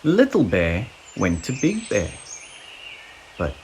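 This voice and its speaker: A storybook narrator reading aloud to young children in a deliberate, expressive scripted style. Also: low voice. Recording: faint background noise with sound effects.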